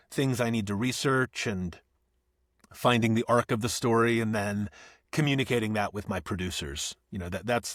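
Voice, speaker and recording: A man, an actor and audiobook narrator, talking as a podcast guest, thinking aloud with restarts and soft laughs. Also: medium pitch, no echo, clean studio sound. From Northeast Ohio. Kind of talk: conversational podcast interview.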